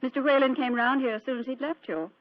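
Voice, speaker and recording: A woman plays an aristocratic lady in a vintage radio mystery, poised and coolly witty. Her high voice is polished and gracious with an arch edge. The old recording sounds a little dull. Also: fast talker, dry recording, natural voice. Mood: arch, amused. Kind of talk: vintage radio drama acting.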